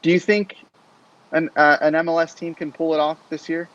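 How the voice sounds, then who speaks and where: A man's voice: fairly high pitch, little pitch movement, moderate pace, fairly bright tone. A man hosting a casual live sports interview as a podcast host, on slightly dull lo-fi audio.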